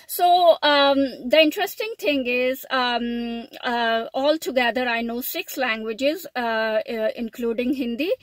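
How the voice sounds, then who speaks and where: A woman's voice: a little high, a little slow, hardly any pauses, no room sound. A middle-aged woman, a Punjabi speaker, talking hesitantly with many fillers in a casual video diary from inside a car. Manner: hesitant, many fillers, lists word meanings. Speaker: language learner. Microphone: phone held at arm's length.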